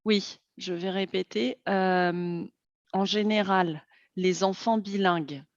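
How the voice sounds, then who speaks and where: A woman hosting a slow, clear interview for language learners, friendly and hesitant, with light laughs. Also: mid-range voice, steady tone, no echo. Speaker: host. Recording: dull, dry lo-fi sound.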